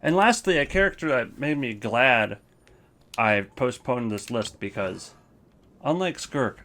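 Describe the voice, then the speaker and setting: A man's voice: mid-range voice, lively intonation, measured pace, pushed and bright. A man giving casual, rambling commentary, thinking aloud as he goes. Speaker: video creator ranking game voice lines.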